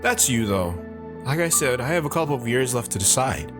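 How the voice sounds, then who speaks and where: A man's voice: medium pitch, lively intonation, fairly quick, music in the background, close to an everyday voice. An actor playing an awkward, apologetic teenage boy. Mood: unsure. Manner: apologetic, unsure.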